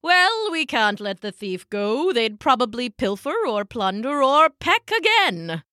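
A woman's voice: a little high, big pitch swings, a little slow, sharp tone, dry recording, clean studio sound, exaggerated voice. A woman voicing a bumbling, sheepish elderly male penguin who talks in alliteration. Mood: thoughtful.

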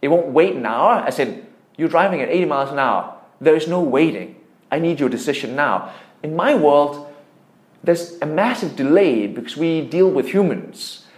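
Echoey, higher-voiced Danish-accented man. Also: animated, normal speed. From Denmark. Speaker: tech startup founder being interviewed. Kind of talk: explanatory interview talk. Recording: echoey room.

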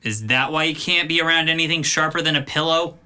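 Man acting sharp, surly young guy. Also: on the high side, fairly quick, smooth. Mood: defensive, scornful. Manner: sullen, sarcastic. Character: surly, resentful young son, callous and grudging. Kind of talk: audio drama acting.

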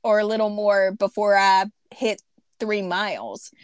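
A woman's voice: medium pitch, fairly even intonation, a little slow, clear, resonant tone, no echo, studio quality. Self-mocking woman in her thirties. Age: 30s. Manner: self-deprecating, laughs often, trails off. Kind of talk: casual chat.

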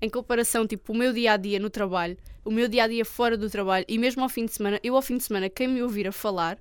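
High, clear-voiced young Algarve woman. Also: fairly even intonation, fast, dry recording, studio quality. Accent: European Portuguese, Algarve. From the Algarve, Portugal. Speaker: podcast co-host. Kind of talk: casual chat.

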